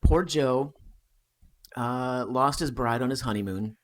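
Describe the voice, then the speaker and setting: A man's voice: medium pitch, takes it slowly. An American man co-hosting a podcast, chatting casually about history and rambling with fillers and restarts. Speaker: podcast co-host.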